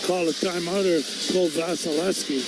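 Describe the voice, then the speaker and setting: Hockey radio color guy, kinda high voice, short chatty remarks, loud music behind. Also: steady tone, normal speed, poor audio. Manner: brief interjections and short observations. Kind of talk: conversational live sports commentary.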